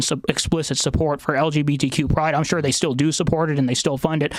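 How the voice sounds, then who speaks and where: A man's voice: fairly high pitch, dry recording. A man hosting a podcast interview, talking in a hesitant way with many fillers and restarts. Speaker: podcast host.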